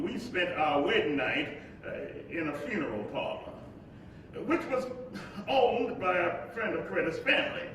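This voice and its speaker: Male actor as playful young husband, high voice, halting storyteller delivery, very echoey live stage, distant mic. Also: pushed and bright, slight background noise. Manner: halting, repeats words, chuckling delivery. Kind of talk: live staged play reading, storytelling monologue and dialogue. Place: large echoey room, live staged reading.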